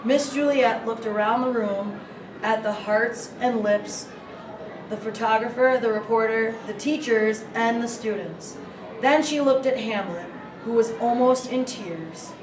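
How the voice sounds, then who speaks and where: Woman reading a story for kids, kinda high, echoey and off mic. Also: measured pace. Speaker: school staff member reading aloud. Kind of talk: children's storybook narration. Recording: echoey room, distant mic, clear noise.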